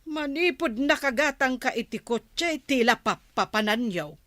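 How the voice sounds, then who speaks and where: An actress with an Ilocano accent playing a reproachful wife who nags in a radio drama. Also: female voice, high-pitched, lively intonation, a little slow, a bit bright, no room sound, clean studio sound. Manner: reproachful, nagging. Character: scolding middle-aged female wife.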